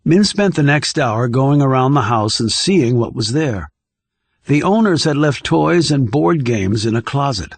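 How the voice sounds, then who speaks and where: A man reading scripted thriller narration in a measured, composed way. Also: mid-range voice, expressive, husky. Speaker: audiobook narrator.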